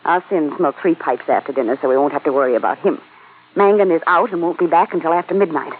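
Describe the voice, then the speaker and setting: A woman's voice: deep voice, fast, slightly rough. A woman playing a scheming young femme fatale, earnest and dramatic, in 1940s radio melodrama style. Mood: urgent persuading. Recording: tinny old radio recording, faint noise.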